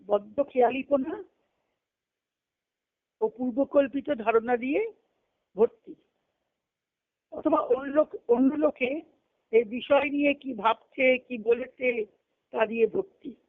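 A woman reading a spiritual text aloud and explaining it, repeating and rephrasing as she goes, on a phone conference call. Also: high-pitched. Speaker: spiritual discussion leader. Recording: muffled phone-line conference call audio.

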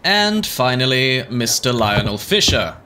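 An actor playing an officious court prosecutor in an audio drama, announcing formally and deliberately. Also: male voice, medium pitch, very animated, background noise. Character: brisk-minded, officious male court prosecutor.

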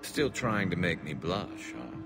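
A man voicing a wry, cocky yet tender alien soldier in a video game, with a gravelly, faintly metallic resonance and a dry, teasing delivery. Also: low-pitched, very animated, a little slow, music in the background, exaggerated voice. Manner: dry, teasing, laid-back.

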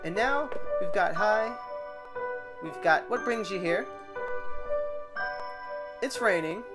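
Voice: medium pitch, lively intonation, slow pace, loud music behind the voice. A tutorial host narrating a screen recording in a casual, rambling way, thinking aloud while working through the steps.

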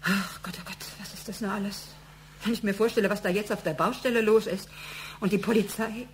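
A fretful, long-serving office secretary acted by a woman from a radio drama. She sounds agitated, with an average pitch, in a roomy recording with a faint hum. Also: steady tone, a little fast, natural voice. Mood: alarmed. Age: middle-aged. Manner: agitated, fretting. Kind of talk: German radio play acting.

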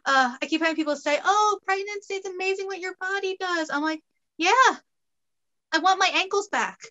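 A pregnant woman in her late twenties chatting casually over a video call from home, laughing often and breaking into quick asides. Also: extremely high pitch, pushed and bright. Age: late 20s. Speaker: guest costumer. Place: home room, indoors.

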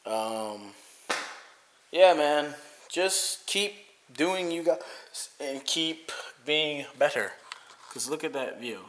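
A man's voice: a little high, fairly bright tone. A man in his thirties casually vlogging at home, rambling with lots of fillers, filmed on a handheld phone camera. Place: home bedroom and living room, indoors. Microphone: handheld phone camera at arm's length. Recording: very echoey bare room, faint noise.

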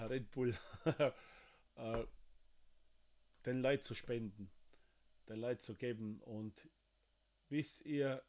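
A man in his forties from Slovenia, speaking German with a Slovenian accent, hosting a livestream from a wood-panelled room with halting, emotional talk full of fillers. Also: medium pitch. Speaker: livestream host. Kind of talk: livestream appeal to viewers.

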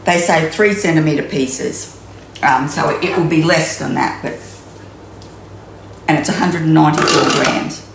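Low-voiced Australian woman with gravelly tone. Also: unhurried, long pauses, a little hiss or hum. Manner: chatty, thinks aloud with many ums and restarts. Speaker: cooking demonstrator. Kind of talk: home cooking demonstration. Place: home kitchen, indoors. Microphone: camera mic at a few metres. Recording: echoey kitchen room.

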